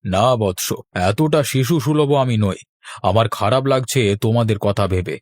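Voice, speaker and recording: Male actor as principled village gentleman, cartoon, middle-aged, stern, dignified, measured formal speech. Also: lowish voice, dry recording, clean studio sound. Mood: grave. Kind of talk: cartoon voice acting.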